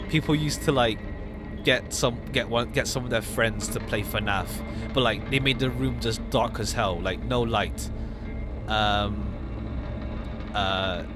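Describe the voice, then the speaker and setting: A man livestreamer chatting casually with viewers in a hesitant, halting way. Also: medium pitch. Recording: jazzy game music behind. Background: jazzy video game background music.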